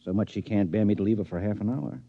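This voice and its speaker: An actor playing a skeptical married friend, hesitant and full of fillers, with a husky voice, in a 1950s radio drama. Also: male voice, on the low side, quick pace, no room sound, narrow, tinny audio. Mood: coaxing. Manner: doubtful, hesitant, many uh fillers. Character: skeptical married friend, lodge buddy, male.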